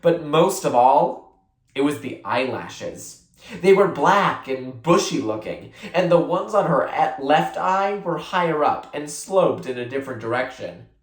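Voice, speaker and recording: A reader narrating a children's novel aloud in an expressive, deliberate storytelling manner. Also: mid-range voice. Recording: roomy echo.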